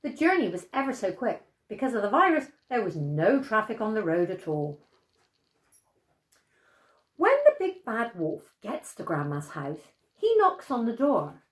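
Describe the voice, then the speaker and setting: An older woman telling a bedtime story in an animated storyteller's style. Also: mid-range voice, measured pace, reverberant.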